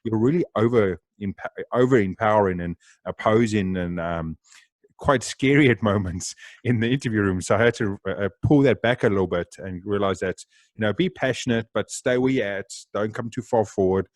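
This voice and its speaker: A South African man hosting a podcast, talking loudly, fast and with excitement in casual interview chat. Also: lowish voice, no room sound. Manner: loud, excitable, talks fast. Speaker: podcast host.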